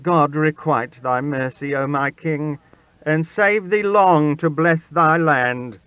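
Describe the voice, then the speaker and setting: A man's voice: fairly high pitch, takes it slowly, dry recording, highs cut off, voice pushed up. A man voicing a humble young pauper boy, frightened and reverent, pleading in old-fashioned, archaic speech. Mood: grateful relief.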